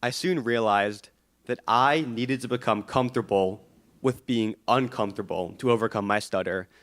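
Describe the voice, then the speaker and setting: A teenage boy giving a prepared speech at a school board meeting, earnest and measured. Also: mid-range voice. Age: teens. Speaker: student speaker.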